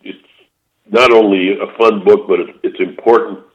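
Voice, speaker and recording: An older man calls in on a muffled, lo-fi phone line and tells rambling stories. He keeps restarting his sentences, and his voice sits a little low. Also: steady tone, normal speed. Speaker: author guest. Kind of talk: casual storytelling interview. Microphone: phone call.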